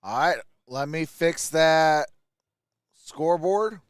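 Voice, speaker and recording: A man's voice: on the high side, slow, clear, resonant tone, dry recording, clean studio sound. A man doing casual live play-by-play as a sports streamer, with chatty, informal asides.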